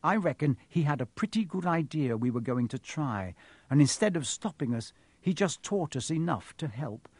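A man's voice: on the high side, big pitch swings, normal speed, a little mellow, breathy edge, no room sound. A man voicing an earnest, curious schoolboy wizard in a storybook, thoughtful and questioning in his manner.

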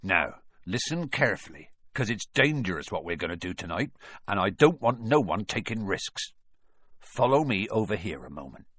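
A man reading an audiobook aloud, voicing a huge, gruff but kindly male gamekeeper with a rustic dialect. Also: lowish voice, sing-song, normal speed, fairly bright tone, no echo, exaggerated voice. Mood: earnest.

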